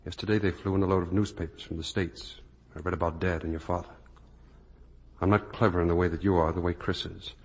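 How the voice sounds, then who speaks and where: An actor playing an earnest, morally outraged young son in 1950s radio drama, with a husky voice and intense delivery. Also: male voice, sits low, level tone, fast, darkish tone. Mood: grave, aching. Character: earnest, morally outraged grown son confronting his parents.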